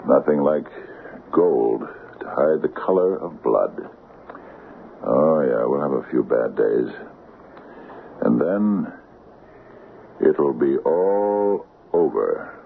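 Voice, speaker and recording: Gravelly, guilt-ridden small town barber, bitter and halting, old radio play, thin noisy sound. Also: male voice, lively intonation, narrow, tinny audio, full character voice. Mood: bitterly sardonic. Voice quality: deep, gravelly. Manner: halting, rueful, sardonic. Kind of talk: radio drama acting.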